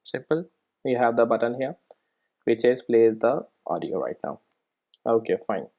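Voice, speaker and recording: Software tutorial presenter, medium pitch, informal, step-by-step explanation, frequent check-ins. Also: lively intonation, measured pace, vocal fry, dull audio. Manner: explanatory, often checking in with "right?" and "okay".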